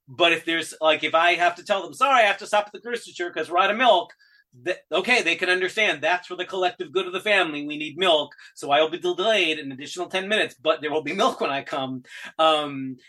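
Guy with a bright punchy voice, kinda high, rambling thru his thoughts on a chatty podcast. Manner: thoughtful, rambling with everyday examples. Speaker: co-host. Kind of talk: casual theological discussion.

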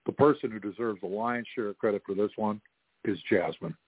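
A low-voiced man who works as a reporter talks at length, his sentences padded with "you know" and "uh". The line is dry and narrow, with a telephone-like tone. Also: fairly even intonation, brisk, no room sound. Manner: rambling, fillers like you know. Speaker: journalist guest. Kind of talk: radio interview.

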